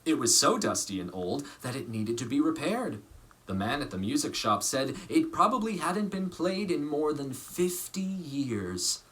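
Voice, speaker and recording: A man reading a children's story aloud in a measured, expressive way, pausing for emphasis. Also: mid-range voice. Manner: measured, dramatic phrasing with pauses for emphasis. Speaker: storybook reader.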